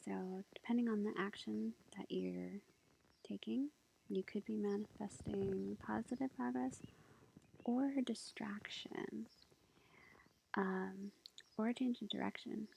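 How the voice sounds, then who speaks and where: A woman playing a sweet, gentle witch girlfriend, reading tarot in a soft, reassuring ASMR whisper. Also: medium pitch, fairly even intonation, slow pace, pauses often, heavily acted. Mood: pleased, musing. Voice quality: soft, breathy, creaky whisper. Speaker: ASMR roleplay performer. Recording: faint background noise, lo-fi.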